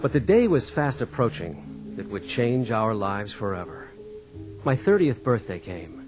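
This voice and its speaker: Animated, folksy young American farmer. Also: male voice, fairly low pitch, measured pace, music in the background, poor audio. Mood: reflective. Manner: folksy, conversational. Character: easygoing young farmer and father, dismissive of religion. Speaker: narrator and lead in radio dramatization. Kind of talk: scripted first-person radio drama narration and dialogue.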